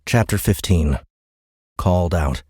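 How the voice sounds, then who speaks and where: A man's voice: sits low, a little slow, a little mellow, breathy edge, no echo, clean studio sound. A man reading a science fiction audiobook in scripted dramatic narration.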